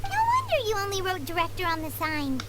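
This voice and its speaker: Squeaky, chirpy little sprite. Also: female voice, wildly swooping pitch, brisk, noisy background, heavily acted. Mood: curious. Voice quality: very high, squeaky and bouncy. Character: tiny chirpy female companion sprite, curious. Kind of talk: game voice acting. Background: game music.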